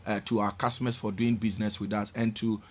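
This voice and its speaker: A man's voice: level tone, fast. A man with a Ghanaian English accent, a bank executive, explaining a loan offer on a radio show with many "uh"s and an upbeat, persuasive push. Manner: hesitant fillers, then persuasive and upbeat pitching. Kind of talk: radio interview, promotional explanation.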